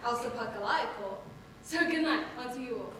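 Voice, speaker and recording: A woman's voice: a little high, fairly quick, fairly bright tone, distant mic, lightly acted. A woman playing a mischievous fairy sprite, reciting rhymed Shakespearean stage verse with an incantatory lilt. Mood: playful appeal. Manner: incantatory, lilting rhymed delivery. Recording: echoey hall from afar, noisy.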